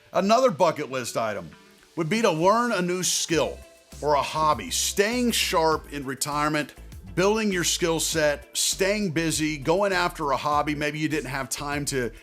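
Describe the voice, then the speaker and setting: A man speaking straight to viewers as a financial advisor, giving advice in a conversational, emphatic way with deliberate phrasing. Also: a little high, a bit bright. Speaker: financial advisor and video host. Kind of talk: direct-to-viewer advice talk.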